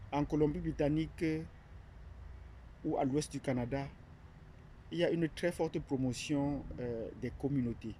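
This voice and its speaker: A man from Cameroon speaks in a mellow, rather dark voice, unscripted and searching for words. He pauses often, fills gaps and restarts his sentences. A faint hiss sits under the recording. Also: a little high. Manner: hesitant, many fillers and restarts. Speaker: academic presenting his platform. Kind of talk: unscripted monologue.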